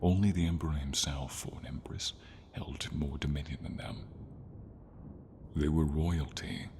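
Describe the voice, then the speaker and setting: A man voicing a friendly, devoted silver dragon in an ASMR fantasy roleplay, deep and breathy, speaking tenderly with dramatic pauses. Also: fairly even intonation, background noise. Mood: awed, grave.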